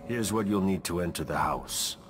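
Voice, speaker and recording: An actor playing a proud, vengeful gang boss in a video game, with a deliberate, menacing delivery. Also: male voice, sits low, noisy background, close to an everyday voice. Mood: smug, businesslike. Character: dangerous gang boss, proud, vengeful, defending his city.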